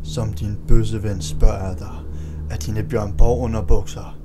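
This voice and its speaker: A man's voice: on the low side, level tone, noisy background, lightly acted. A man voicing a vain, pushy boy in an amateur comedic anime dub, with a cocky, crude delivery. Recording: music playing under the voice.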